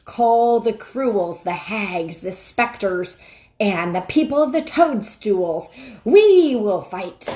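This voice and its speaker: An imperious storybook witch queen, acted out by a woman reading aloud, cruel and scornful. She draws words out slowly and swings her pitch with commanding emphasis. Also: animated, dark tone. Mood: commanding, fierce.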